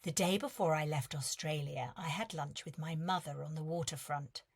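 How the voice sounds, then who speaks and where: A woman reading a prose letter aloud in a measured, expressive storytelling manner. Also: low voice, slightly rough. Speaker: reader of a competition entry.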